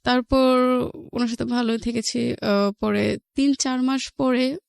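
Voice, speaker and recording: A woman with a Bangladeshi accent, an interview guest recounting her personal life in a halting way, repeating phrases for emphasis. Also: fairly high pitch, steady tone, creaky, darkish tone, smooth, no echo, clean studio sound.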